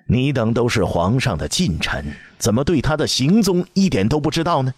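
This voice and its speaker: A furious old empress dowager from a storybook drama, put on by a male narrator. Her commanding voice sits high and husky, leaping sharply between lines. The sound is dry and close. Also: expressive, normal speed, a little mellow, no room sound. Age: older. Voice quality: commanding, scolding.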